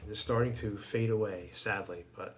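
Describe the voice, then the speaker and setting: A man's voice: on the low side, fairly even intonation, normal speed, a little hiss or hum. A middle-aged American man, a fire chief, talking casually and emphatically as an interview guest.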